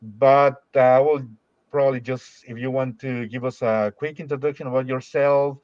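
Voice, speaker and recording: Male podcast host, halting speech, repeats words, teasing, slightly muffled, dry sound. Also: mid-range voice, steady tone, no room sound. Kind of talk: casual podcast interview.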